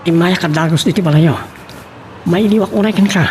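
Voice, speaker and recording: Man as high-voiced young sweetheart. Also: a little fast, darkish tone, a little hiss or hum, lightly acted. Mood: tender. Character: devoted young male sweetheart, earnest and longing. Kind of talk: Ilocano radio drama acting.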